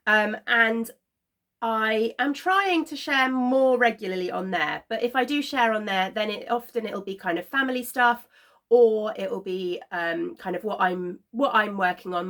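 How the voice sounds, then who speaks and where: A woman in her thirties hosting a knitting podcast, chatting casually to camera from her sofa at home, slightly congested from a cold and filling pauses with frequent ums. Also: mid-range voice, a bit bright. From Scotland. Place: living room at home, seated on a sofa.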